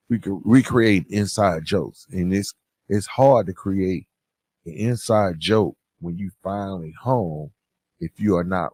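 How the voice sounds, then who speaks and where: A man's voice: low-pitched, a little mellow, no echo. A man hosting a podcast, speaking in a conversational, motivational way and addressing listeners directly, leaning on words for emphasis. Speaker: podcast host.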